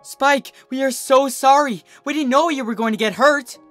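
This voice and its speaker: A narrator voicing an excitable, caring young female pony prankster with a bubbly, emphatic manner. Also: high voice, moderate pace, faint music behind, pitched above the performer's own voice. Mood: alarmed.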